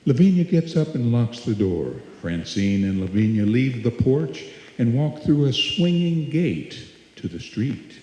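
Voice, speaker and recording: A man performing as the narrator of a live old-time radio suspense play, deliberate and atmospheric. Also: medium pitch, sing-song, mellow. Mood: measured. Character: storyteller narrator of a suspense tale, measured, ominous. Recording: echoey hall with music behind.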